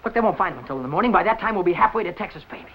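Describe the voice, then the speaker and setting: Desperate young mechanic, evasive, strained, widely varied pitch, 1950s crime drama, heavy soundtrack noise. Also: male voice, lively intonation, racing. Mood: desperate, grim. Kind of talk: 1950s film noir acting. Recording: muffled, very noisy old film soundtrack.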